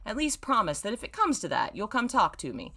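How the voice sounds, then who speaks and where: A woman reader puts on a passionate, forthright young woman from a story. She teases and coaxes boldly, her pitch lively and swooping. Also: brisk, heavily acted. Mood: earnest, coaxing.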